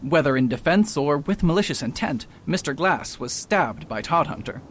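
Radio mystery sleuth: self-assured male doctor played by a man, highish voice, very varied intonation, pompous, precise. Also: lively intonation, moderate pace, a little hiss or hum, natural voice. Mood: confident, insistent. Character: pompous male doctor-detective, confident in his deductions. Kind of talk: radio drama acting.

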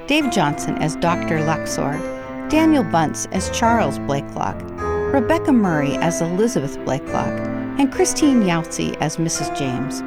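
A woman announcer reading scripted radio credits in a formal, clearly enunciated way. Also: lowish voice, very animated, normal speed. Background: loud music.

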